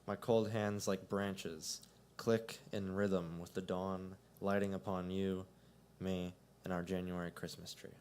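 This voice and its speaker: A man reading his own poems aloud at a coffee shop open mic, with a measured delivery that swells. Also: deep voice, little pitch movement, background noise. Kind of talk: poetry reading.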